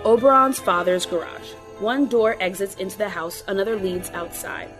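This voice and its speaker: Measured woman narrating over music. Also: medium pitch. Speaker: stage directions reader. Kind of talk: scripted narration.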